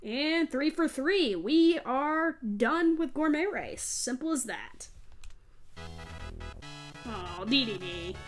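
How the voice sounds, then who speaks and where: A woman's voice: high-pitched, lively intonation, slow, long pauses. A woman hosting a let's play, giving casual gameplay commentary in a chatty, self-deprecating way. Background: video game music.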